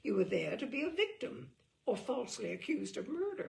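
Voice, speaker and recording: A woman's voice: fairly high pitch, big pitch swings, fairly quick, vocal fry, darkish tone. A woman giving an arch, theatrical, mock-serious reading of a humorous book. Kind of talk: theatrical reading aloud of comic prose.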